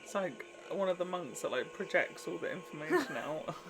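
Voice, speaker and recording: A woman's voice: lowish voice, lively intonation, normal speed, rough recording. A young woman chatting casually as she reacts to a television show, recorded on a desk microphone in a home room. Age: young adult. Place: small home room with shelves. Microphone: large desk microphone. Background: music from the watched show.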